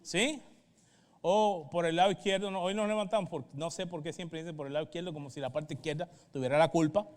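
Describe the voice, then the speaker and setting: High-pitched Puerto Rican man preaching in a hall. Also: brisk, darkish tone. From Puerto Rico. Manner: didactic, checks in with short questions. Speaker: pastor. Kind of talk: sermon, Bible teaching. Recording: reverberant hall sound.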